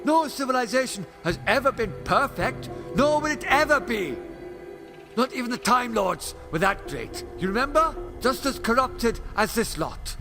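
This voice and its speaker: A man's voice: very high voice, expressive, normal speed, vocal fry, heavily acted. A man playing an argumentative alien time-traveller hero in an audio drama, impassioned, sardonic and lecturing. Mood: sardonic, indignant. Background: dramatic music.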